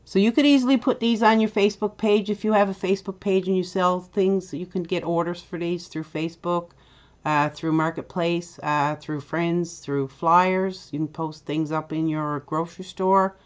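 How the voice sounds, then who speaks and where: A middle-aged woman with a Newfoundland accent, chatty and step-by-step as she hosts a software tutorial. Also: fairly low pitch, fairly even intonation, moderate pace. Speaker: tutorial host. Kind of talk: screen-recorded software tutorial.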